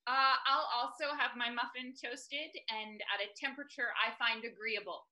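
A woman's voice: on the high side, fairly even intonation, moderate pace, bright, forceful tone, rough recording. A young actress playing a haughty, sarcastic businesswoman at a comedy table read over a video call. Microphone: computer mic on a video call.

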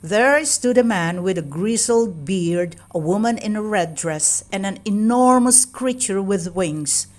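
Slow-paced woman reading a children's story. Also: medium pitch. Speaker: storybook narrator. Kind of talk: expressive scripted children's read-aloud.

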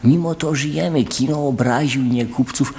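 An actor playing an earnest, worried villager in a chorus, declaiming solemnly in a radio drama. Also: male voice, mid-range voice, normal speed, darkish tone, natural voice. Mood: worried, questioning. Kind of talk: theatrical radio drama acting.

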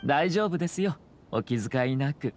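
An actor playing a courteous, composed young noble commissioner in an anime game, speaking in measured, formal politeness. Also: male voice, a little high, lively intonation, slight background noise, lightly acted. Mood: calm, polite. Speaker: government commissioner.

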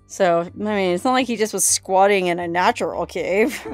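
A woman's voice: mid-range voice, normal speed. Lady vlogging from a canyon cave, chatty and jokey, camera held at arm's length, faint music under it. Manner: chatty and playful, laughs often, makes up silly stories. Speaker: solo outdoor adventure vlogger. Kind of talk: casual vlog narration while exploring. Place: outdoors, inside a rock cave and cabin in a canyon. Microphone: handheld camera at arm's length.

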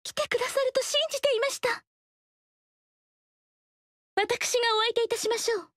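A high, refined court dancer. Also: female voice, steady tone, normal speed, no room sound, clean studio sound. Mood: courteous. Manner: soft, polite, elegant formal speech. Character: graceful noble dancer woman, humble and refined. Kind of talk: game character voice lines.

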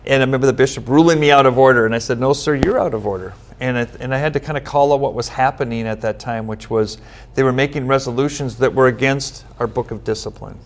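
A middle-aged man from Minnesota, a United Methodist pastor, giving steady, earnest answers in a studio interview, with frequent "um" fillers. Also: medium pitch, quick pace. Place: studio set with bookshelf backdrop, seated at table.

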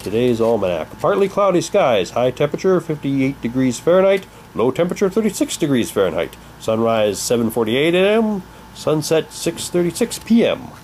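A man's voice: medium pitch, very animated, normal speed, hardly any pauses, a little mellow, slight background noise. A man casually commenting and reading documents aloud as he presents an unboxing. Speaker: unboxing vlogger.

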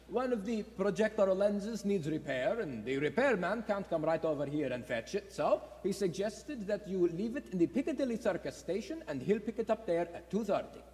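An actor playing a nervous, shifty middle-aged husband, hesitant and stammering, in a radio play. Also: male voice, high voice, a little mellow. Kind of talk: stage radio play acting. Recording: echoey theatre hall.

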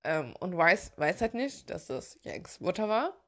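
A woman's voice: fairly low pitch, sing-song, measured pace, fairly bright tone. A woman chatting casually and giggling as she gushes like a fan, rambling along as the host of a video. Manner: gushing, giggly.

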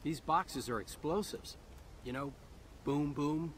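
Sarcastic young soldier hero, higher voice, game cutscene, light noise. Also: male voice, slow, a bit bright. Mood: wry. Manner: sarcastic quips. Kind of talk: video game voice acting.

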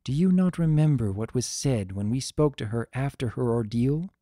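A man's voice: medium pitch, lively intonation, normal speed, warm tone, dry recording, studio quality, close to an everyday voice. A man voicing a wise, regretful elder pony princess in a dramatic story reading, grave, restrained and formal.

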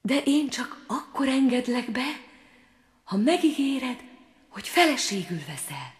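Picture a tiny fairy-tale frog maiden, soft-spoken and coaxing, her voice lilting and sing-song as she hushes into whispers, as a woman puts her on. Her pitch rises and falls with lively swings, never loud, always tender and patient. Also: roomy sound. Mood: gentle coaxing. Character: sweet little female frog, gentle and coaxing.

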